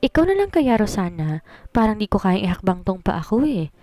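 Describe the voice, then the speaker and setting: A woman's voice: mid-range voice, very animated, fairly quick, mellow, no echo, lightly acted. A woman voicing a jittery, chatty young bridesmaid, dramatic and exclamatory, in a Filipino romance audio story. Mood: reluctant.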